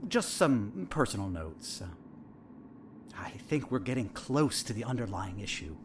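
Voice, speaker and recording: A probing therapist in an audio drama, acted by a man, with a wildly animated, swooping delivery. He is smug and insinuating, needling with leading questions as if he knows everything. Faint noise sits behind the voice. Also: lowish voice, unhurried. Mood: clinical, probing. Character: probing, needling male therapist, smug and eerily all-knowing. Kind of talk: audio fiction dialogue.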